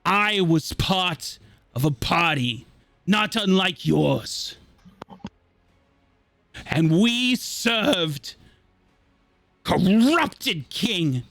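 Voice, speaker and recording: A man playing a bitter, theatrical villain in a tabletop roleplay, delivering grandiose, deliberate, impassioned speeches. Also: high-pitched, vocal fry, sharp tone, no echo, heavily acted. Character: bitter, theatrical villain justifying his fall from hero. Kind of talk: dramatic in-character monologue in a tabletop roleplay.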